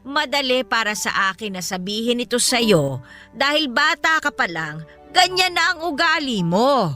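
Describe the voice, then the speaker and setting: Older filipina folk healer, high sharp voice all over the place, blunt, scolding, radio drama. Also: female voice, big pitch swings, moderate pace, soft background music. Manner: folksy, scolding, matter-of-fact.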